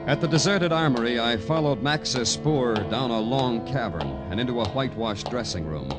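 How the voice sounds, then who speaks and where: A man's voice: medium pitch, expressive, moderate pace, loud music behind the voice, lightly acted. A man playing a sardonic, world-weary police detective in a 1950s radio noir drama, with clipped, dry delivery. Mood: wry narration. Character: hard-boiled, sardonic male Broadway police detective. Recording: old radio drama broadcast.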